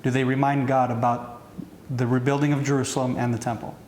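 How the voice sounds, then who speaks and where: An Israeli-accented man hosting an interview, speaking haltingly with frequent restarts as he searches for words. His voice sits at a middling pitch. The recording is echoey, with a faint hum underneath. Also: flat delivery, a little mellow. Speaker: podcast host. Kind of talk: interview questions.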